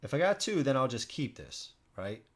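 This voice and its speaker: Casual man thinking out loud while gaming. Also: mid-range voice, big pitch swings, moderate pace. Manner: informal, thinking out loud, repeats himself. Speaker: streamer hosting a solo board game playthrough. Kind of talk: casual live stream commentary while playing.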